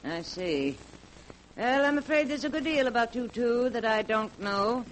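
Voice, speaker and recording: An actress in a 1940s radio drama playing a shrewd, wealthy elderly aunt who speaks in a measured, dry, searching way. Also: female voice, fairly high pitch, animated, slight background noise, poor audio. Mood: probing. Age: older.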